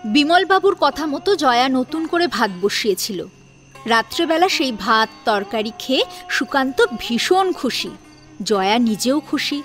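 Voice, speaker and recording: A woman narrating a scripted domestic drama as a storyteller, with an expressive, dramatic delivery. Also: sits high, unhurried. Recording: music behind the voice.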